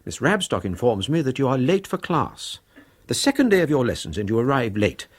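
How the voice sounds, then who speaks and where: A man's voice: mid-range voice, very animated, fairly quick, slightly rough. A man playing a stern, pompous Victorian father in a radio drama, formal and imperious, with an English accent. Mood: stern, reproving. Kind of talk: 1990s British radio drama acting.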